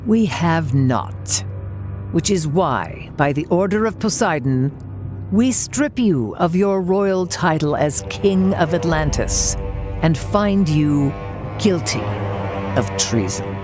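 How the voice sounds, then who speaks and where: A woman's voice: deep voice, animated, slow, a little mellow, faint music behind. A woman playing a stern, haughty council judge in a video game, speaking formally and solemnly in accusation. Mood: stern, condemning. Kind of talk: video game cutscene acting.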